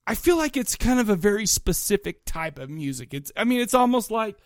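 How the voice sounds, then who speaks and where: Enthusiastic, rambling man with a high-pitched voice. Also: very animated, fairly quick, dry recording, studio quality. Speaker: podcast co-host. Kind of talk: casual chat, music review.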